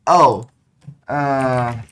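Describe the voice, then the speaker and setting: Slow-talking game streamer, halting English speaker. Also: mid-range voice, fairly bright tone, smooth. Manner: halting, searching for words. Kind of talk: casual livestream chat over gameplay.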